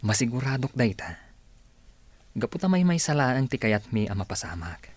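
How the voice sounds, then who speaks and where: Radio drama role: smooth, polite Ilocano recruiter, raspy low voice, very dry sound. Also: male voice, big pitch swings, normal speed, dry recording. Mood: persuasive. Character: smooth-talking male stranger Edgar, a persuasive gang recruiter.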